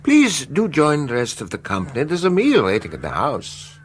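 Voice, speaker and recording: An actor playing a broken, obsessive older professor in a radio drama, halting, breathy and intense. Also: male voice, on the high side, huge pitch swings. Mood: forced politeness. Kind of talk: British radio drama acting. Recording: noisy recording with outdoor ambience.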